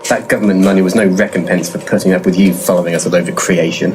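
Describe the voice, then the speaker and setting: An actor playing a pompous, irritable and shabby paranormal investigator in a comic audio drama. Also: male voice, low voice, fairly even intonation, fast talker, background noise, lightly acted. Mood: grumbling, irritable. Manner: pompous, grumbling.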